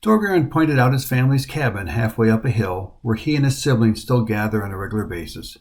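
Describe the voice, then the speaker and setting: A middle-aged American man narrating his hiking trip in a voice-over, with an even storytelling voice and a dry, wry humor. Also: medium pitch, fairly quick. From United States. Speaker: hiking vlogger. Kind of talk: scripted travel narration.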